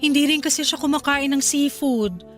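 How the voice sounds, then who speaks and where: A gentle young bride-to-be, voiced by a woman in a radio drama, high and light in pitch. She speaks softly and sweetly, with faint music running underneath. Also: moderate pace, close to an everyday voice. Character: young bride-to-be planning her wedding.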